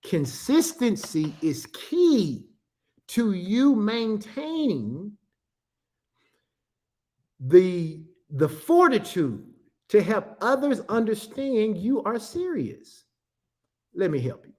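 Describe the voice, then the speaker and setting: A pastor in his fifties with a drawl teaches his listeners in a lively voice that climbs and falls a lot. He repeats key phrases for emphasis and keeps calling out to his audience. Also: high voice, slow, pauses often. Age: 50s. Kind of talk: teaching talk to an online audience.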